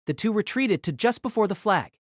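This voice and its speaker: A woman's voice: mid-range voice, lively intonation, brisk, no room sound, studio quality. A woman narrating a novel in a scripted, dramatic storytelling style.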